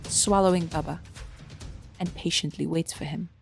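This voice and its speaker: A woman's voice: fairly low pitch, fairly even intonation, moderate pace, creaky, slightly rough, faint music behind. A woman narrator reading scripted storybook narration for an audio drama.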